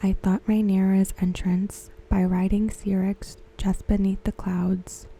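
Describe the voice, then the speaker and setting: A woman speaks in a hushed, breathy whisper, very mellow and soft. She reads slowly, as an ASMR host would, with gentle, measured and carefully phrased narration. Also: medium pitch, flat delivery. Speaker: ASMR host reviewing a TV episode. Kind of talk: soft-spoken ASMR, scripted recap narration.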